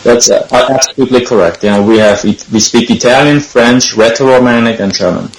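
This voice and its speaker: A man from Switzerland with a Swiss accent, calling in to a radio show and answering plainly and calmly. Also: medium pitch, fairly quick, reverberant, poor audio. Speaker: caller. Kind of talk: phone-in interview.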